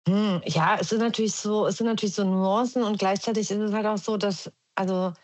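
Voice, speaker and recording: A woman's voice: mid-range voice, fairly even intonation, fairly quick. A woman with a German accent, a podcast guest speaking reflectively with many restarts and fillers. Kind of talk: reflective conversational podcast talk.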